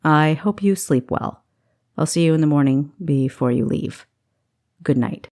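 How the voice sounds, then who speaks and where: A woman's voice: deep voice, unhurried, a little mellow. A woman voicing a reserved, courteous lord in an audiobook, formal and restrained. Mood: stiff politeness.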